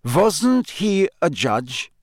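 Man doing a slow, blunt, puzzled young man. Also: a little high, big pitch swings, no echo, studio quality, voice pushed up, full character voice. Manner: slow, deliberate.

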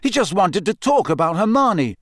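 A man's voice: high voice, big pitch swings, moderate pace, fairly bright tone, no echo, studio quality, voice pushed up, heavily acted. A man voicing an earnest, mildly defiant teenage schoolboy in an audiobook, plain-spoken and protesting. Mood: defensive, annoyed. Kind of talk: audiobook character acting.

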